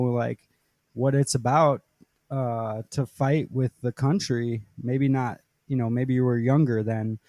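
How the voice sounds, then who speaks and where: A man hosting a casual podcast interview, talking in a halting way with many fillers. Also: mid-range voice, warm tone, dry recording. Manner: halting, many uhs and likes. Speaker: podcast host.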